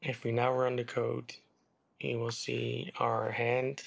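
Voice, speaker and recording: Man with a gravelly voice explaining code. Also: medium pitch, takes it slowly, a little hiss or hum. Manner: relaxed, step-by-step explaining while typing. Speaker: game development tutor. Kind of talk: screen-recorded coding tutorial. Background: keyboard typing and mouse clicks.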